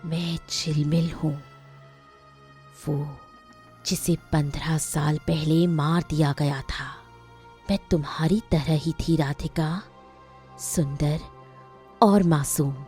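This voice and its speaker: A sorrowful ghost queen voiced by a woman for a cartoon moral tale. She speaks slowly and soothingly in a soft-edged, dark tone, with music underneath. She is young, gentle and solemn. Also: deep voice, fairly even intonation, pitched below the performer's own voice.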